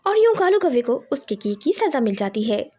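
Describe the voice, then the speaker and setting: A woman narrating a scripted children's cartoon story in an expressive, dramatic storytelling manner. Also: a little high, normal speed, husky.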